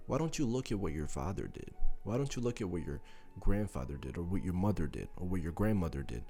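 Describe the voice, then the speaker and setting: A man's voice: sits low, animated, fast talker, gravelly, dark tone, soft background music. A man in his late teens, from Serbia and the US, gives an emphatic motivational speech built on repeated phrases and rhetorical questions. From born in Serbia, moved to the US. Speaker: motivational content creator.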